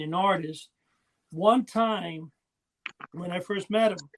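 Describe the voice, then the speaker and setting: High voiced guy, podcast cohost, casual rambly stories. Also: low-quality recording. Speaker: podcast co-host. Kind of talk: casual chat.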